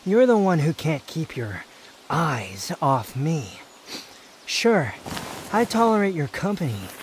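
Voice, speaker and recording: A man playing an aloof, proud young wanderer in an intimate roleplay audio drama, guarded yet secretly fond, with a brooding, haughty delivery full of pauses. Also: fairly high pitch, slight background noise. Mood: haughty, teasing. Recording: outdoor nature ambience with insects. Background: insect and nature ambience.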